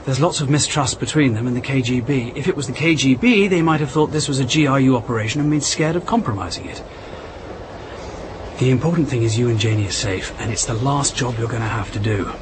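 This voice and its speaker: Protective, anxious British spy-officer husband, played by a male actor for radio drama; mid-pitched, clearly noisy background. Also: a little fast, natural voice. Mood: concerned, attentive. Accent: British English.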